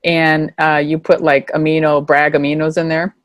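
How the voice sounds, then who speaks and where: A woman hosting a podcast interview, talking in a relaxed, rambling way with lots of filler words. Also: low-pitched, normal speed, dry recording. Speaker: podcast host. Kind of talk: casual interview chat.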